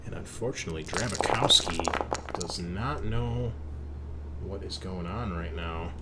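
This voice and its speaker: A man's voice: sits low, a little slow, very noisy. A man running a tabletop roleplaying game as game master, talking in a casual, conversational way.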